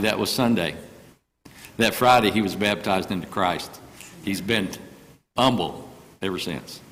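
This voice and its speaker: An older man with a drawl preaches from the pulpit in an earnest, homespun way, with a slightly low voice. His words carry through the speakers and linger in the long reverb of the church sanctuary. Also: fairly bright tone. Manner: earnest, folksy storytelling with repeated phrases. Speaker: guest preacher. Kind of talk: sermon. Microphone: microphone through speakers.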